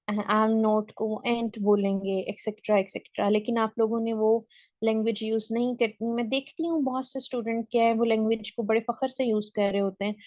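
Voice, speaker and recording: Woman, English tutor, fairly high voice, checks in often, dull dry call audio. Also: darkish tone, no echo. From Pakistan. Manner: explains step by step, checks in often. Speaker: English teacher. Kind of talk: online class lecture. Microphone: video call.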